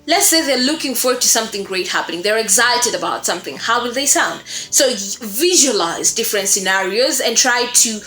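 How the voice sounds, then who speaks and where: A woman instructor explaining a lesson in an expressive way, repeating words for emphasis. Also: fairly high pitch, fairly quick, hardly any pauses. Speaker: voice acting instructor. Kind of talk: explanatory lesson on creating character voices. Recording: roomy echo, faint music behind.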